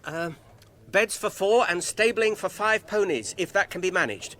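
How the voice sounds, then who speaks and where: An actor playing a polite, careful gentleman hobbit in a radio drama, courteous, earnest and slightly hesitant. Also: high-pitched, expressive, normal speed. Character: polite, careful male gentleman hobbit leading his friends. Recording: clear background ambience noise.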